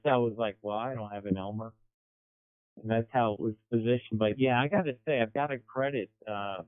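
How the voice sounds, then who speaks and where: Male American radio caller, middle-aged, smooth clear voice through a thin, narrow-band transmission, casual delivery. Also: mid-range voice, dry recording. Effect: amateur radio transmission. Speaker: amateur radio caller, radio broadcaster. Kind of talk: casual radio check-in chat. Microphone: two-way radio.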